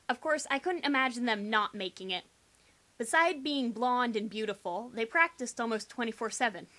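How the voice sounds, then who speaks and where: Young female reader, high bright voice, sarcastic and theatrical delivery of a teen novel. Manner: exaggerated, sarcastic, theatrical emphasis. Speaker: amateur reader. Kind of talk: dramatic reading of a first-person teen novel.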